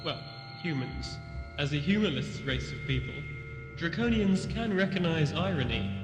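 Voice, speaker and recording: A man's voice: on the high side, lively intonation, natural voice. A man playing a dignified alien ambassador, cavernous echo. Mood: solemn, measured. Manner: formal, measured speech. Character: dignified, grave male alien ambassador from a proud race. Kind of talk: science fiction audio drama acting. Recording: echoey hall reverb under loud music.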